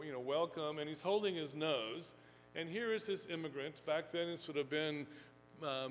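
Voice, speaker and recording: A man lectures to a live audience, wandering through his points with plenty of fillers and self-deprecating asides. His voice sits a little high for a man. The sound is roomy with a long hall echo and a faint hum underneath. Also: fairly bright tone. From Houston, Texas, USA. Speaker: seminary professor giving a talk. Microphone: fixed lectern mic.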